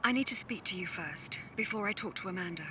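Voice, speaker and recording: A woman's voice: fairly low pitch, lively intonation, fast, sharp tone. An actress playing an evasive, reluctant businesswoman on a phone call in an audio drama, guarded but insistent. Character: evasive, reluctant professional businesswoman breaking bad news. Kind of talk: naturalistic audio drama acting. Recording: noisy phone call with vehicle ambience.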